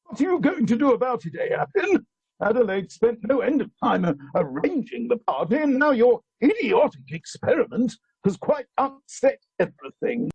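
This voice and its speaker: A man acting a brisk, ruthless upper-class husband in a radio play, with clipped, decisive delivery. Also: very high-pitched, sing-song, a little mellow, husky, no echo, full character voice. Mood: indignant. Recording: dull, lo-fi video call audio.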